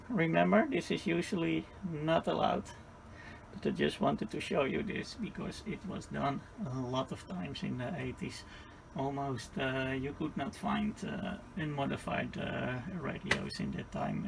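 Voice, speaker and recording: Male tutorial host, strongly gravelly creak, halting step-by-step technical delivery, noisy home-made sound. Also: mid-range voice. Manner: halting, piecemeal explaining with repeated phrases. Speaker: radio repair tutorial host. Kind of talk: unscripted hands-on technical demonstration.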